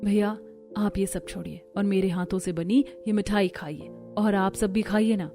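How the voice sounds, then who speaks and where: A woman's voice: medium pitch, fairly even intonation, normal speed, warm tone, soft background music, lightly acted. A woman voicing a tender, earnest, loving young sister in a cartoon story. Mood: affectionate. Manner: tender, sincere. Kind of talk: Hindi cartoon story acting.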